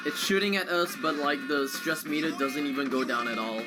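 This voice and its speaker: A man's voice: a little high, lively intonation, a little fast. A man giving casual live commentary on a video game as he streams it. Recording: game music under the voice. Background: loud game music.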